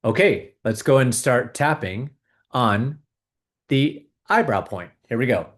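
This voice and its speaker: A man hosting a video, calmly guiding viewers through a tapping exercise with repeated phrases. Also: mid-range voice, slow pace. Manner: calm, repetitive, coaching tone. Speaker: host leading a tapping exercise. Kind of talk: guided tapping exercise and money talk.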